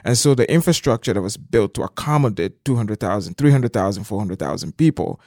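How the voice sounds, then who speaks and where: A man's voice: on the low side, lively intonation, fairly quick, darkish tone, no echo, studio quality. A middle-aged man from Liberia, explaining things conversationally as an interview guest. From southeastern Liberia.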